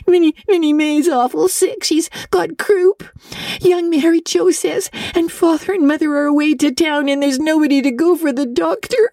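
A woman's voice: high voice, fairly even intonation, moderate pace, dark tone, dry recording, clean studio sound, voice pushed up. A woman doing a sobbing, frightened little girl. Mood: frightened, tearful. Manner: sobbing, pleading, shaky.

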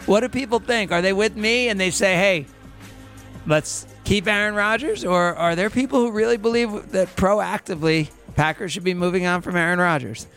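A man's voice: high-pitched, moderate pace, a bit bright, faint music behind. A man hosting a sports radio show, chatting casually and often tagging thoughts with "like" and "right?". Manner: conversational, frequent "like" and "right?" fillers.

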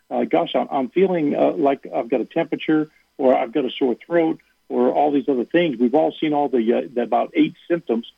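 A man's voice: on the high side, vocal fry, breathy edge, dry recording. A man guest on a sports radio show, giving earnest answers and repeating words for emphasis. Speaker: athletic director guest. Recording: phone line.

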